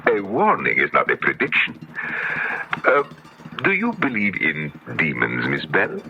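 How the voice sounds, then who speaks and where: Very deep, gravelly sinister occult author. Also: male voice, wildly swooping pitch, pushed and bright, exaggerated voice. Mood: suave, veiled threat. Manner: formal, deliberate, theatrical phrasing. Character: sinister demon-worshipping male author, smug and menacing. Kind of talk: 1970s radio drama acting. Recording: dull, hissy 1970s radio recording.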